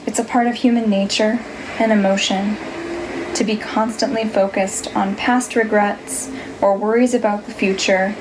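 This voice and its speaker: A woman leading a guided meditation, giving gentle, unhurried instructions in a soothing manner. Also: medium pitch, noisy background. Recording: music behind the voice. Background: music.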